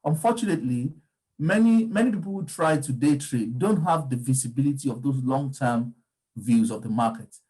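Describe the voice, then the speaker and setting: A man's voice: medium pitch, expressive, moderate pace, dark tone, poor audio. Man explaining markets. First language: Yoruba. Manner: discursive, frequent ums and restarts. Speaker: crypto market commentator. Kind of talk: casual explanatory talk.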